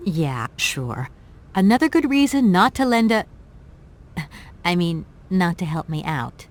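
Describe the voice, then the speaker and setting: Lively, wry young adventuress. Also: female voice, fairly low pitch, a little slow. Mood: wry, exasperated. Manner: natural, expressive, casually wry. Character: plucky young woman adventurer, practical, wry and impatient. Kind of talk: video game character acting.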